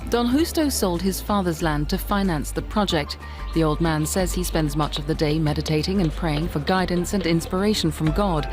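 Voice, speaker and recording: A woman narrating a news feature in a measured, scripted reading, also voicing translations of interviews. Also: on the low side. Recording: loud music bed under voice.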